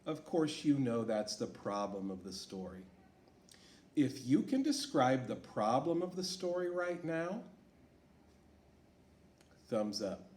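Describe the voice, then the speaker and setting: A man reading a storybook aloud to children as a teacher, asking them questions in an encouraging way. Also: medium pitch. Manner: slow, lively, encouraging teacher talk. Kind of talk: read-aloud lesson for children. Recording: echoey room.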